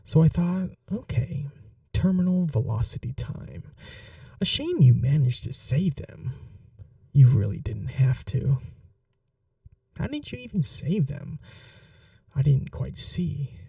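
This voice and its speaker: Chatty, vain male supervillain, played by a man, smitten and casually menacing, unhurried and gravelly, ASMR roleplay. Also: fairly high pitch, sing-song, mellow, dry recording, clean studio sound, distant mic, exaggerated voice. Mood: playful, menacing. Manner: flirtatious, casually menacing, musing aloud. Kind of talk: ASMR roleplay monologue to the listener.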